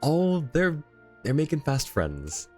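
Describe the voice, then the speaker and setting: A man's voice: a little high, huge pitch swings, slow, darkish tone. A man doing casual, chatty livestream commentary on a game, reacting and replying to his chat. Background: faint game music.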